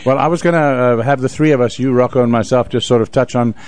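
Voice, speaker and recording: A man co-hosting with casual radio banter, quippy and quick to interject. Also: medium pitch, a little mellow, no echo. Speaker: radio show co-host.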